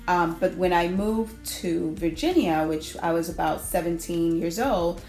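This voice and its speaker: Middle-aged Dominican-born woman, lower voice. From Dominican Republic. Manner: warm-humored, quirky, laughs easily. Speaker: guest. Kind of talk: casual storytelling. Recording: echoey room with faint music.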